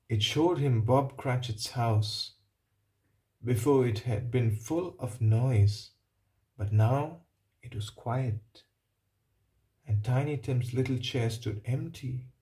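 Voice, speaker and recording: A man reading a picture book aloud to children, pausing to explain things and put questions to them. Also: medium pitch, darkish tone. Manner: pauses to ask and explain to young listeners. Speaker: storybook reader. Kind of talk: read-aloud narration for children.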